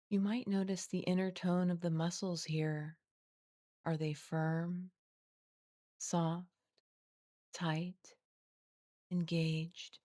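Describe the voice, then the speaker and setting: Female meditation guide, slightly low voice, soothing and unhurried, very level intonation, close dry recording. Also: no room sound. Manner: gentle, soothing, unhurried delivery with long pauses. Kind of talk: guided body scan meditation, scripted and slowly spoken.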